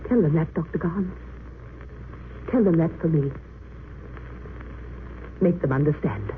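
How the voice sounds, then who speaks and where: A woman's voice: sits low, measured pace. An actress playing a composed, idealistic woman prisoner facing execution in an old radio drama, speaking with earnest, formal solemnity. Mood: fervent, resolute. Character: Edith Cavell, condemned woman prisoner, composed, idealistic, resolute. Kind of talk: 1930s-40s radio drama acting. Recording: muffled, noisy vintage radio recording.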